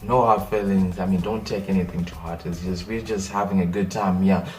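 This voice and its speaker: A young Zimbabwean man with a Zimbabwean accent, a native Shona speaker, talking in a casual comedic vlog rant, animated and exasperated, repeating his points. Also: low voice, fairly quick, recorded from a distance, faint music behind. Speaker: vlogger.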